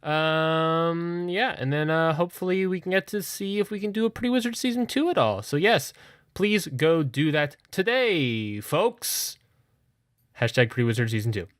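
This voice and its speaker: A man's voice: a little high, animated, normal speed, a bit bright, clear, resonant tone, no echo. A man making a casual, rambling podcast announcement, jokingly correcting and repeating himself as he goes.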